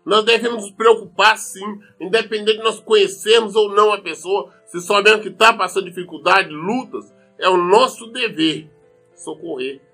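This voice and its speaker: High-pitched Brazilian man preaching a sermon. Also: normal speed, gravelly, bright, forceful tone, soft background music. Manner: earnest, admonishing; emphatic, repeats phrases for stress. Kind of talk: sermon with scripture reading.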